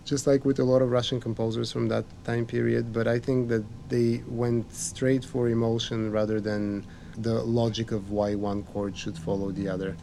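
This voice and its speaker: A pianist, from Bulgaria, telling a story in a thoughtful, conversational interview. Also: male voice, mid-range voice, flat delivery, moderate pace, vocal fry, mellow, slight background noise. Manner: reflective, storytelling. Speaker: pianist guest.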